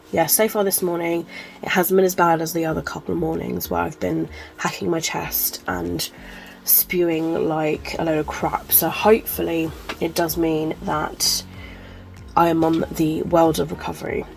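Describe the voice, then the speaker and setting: A woman's voice: low-pitched, little pitch movement, normal speed, creaky, slight background noise, low-quality recording. A woman chatting casually to camera as a book vlogger, sounding chesty and congested, rambling with lots of ums and likes. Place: at home.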